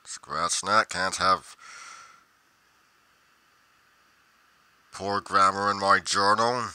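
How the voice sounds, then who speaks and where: Man playing a pompous investigator, crisp deep voice. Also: fairly even intonation, takes it slowly, sharp tone, no room sound, exaggerated voice. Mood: musing, pedantic. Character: pedantic, musing male paranormal investigator narrating his journal. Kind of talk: theatrical journal monologue in a game roleplay.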